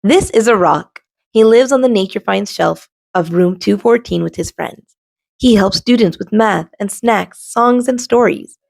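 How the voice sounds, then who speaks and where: A woman reading a children's storybook aloud as host, expressively and with dramatic pauses. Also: medium pitch, vocal fry, a little mellow, no room sound. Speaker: storybook reader and show host.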